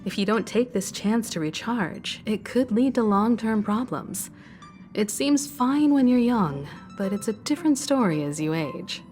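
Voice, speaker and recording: An actress playing a poised, caring mature woman leader in a video game, gently advising. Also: a little high, expressive, measured pace, faint music behind. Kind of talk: video game character dialogue.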